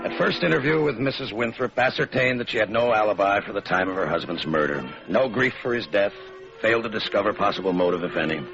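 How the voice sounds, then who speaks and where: An actor playing a terse, deadpan American homicide lieutenant in an old radio noir drama. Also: male voice, lowish voice, fast, creaky, music in the background. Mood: dry narration. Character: hard-boiled laconic homicide police lieutenant. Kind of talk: 1940s-50s radio noir acting and narration. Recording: muffled, noisy old radio recording.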